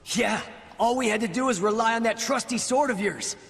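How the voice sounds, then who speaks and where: An actor playing a cheeky, flirtatious treasure hunter with a cocky, casual manner in a video game cutscene. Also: male voice, extremely high pitch, moderate pace, vocal fry, a bit bright, exaggerated voice. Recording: long cavernous reverb.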